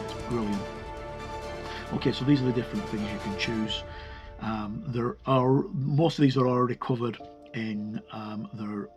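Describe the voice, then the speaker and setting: A man presenting an informal, unscripted walk-through review of a tabletop game book, with a rambling, halting delivery. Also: medium pitch. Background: background music.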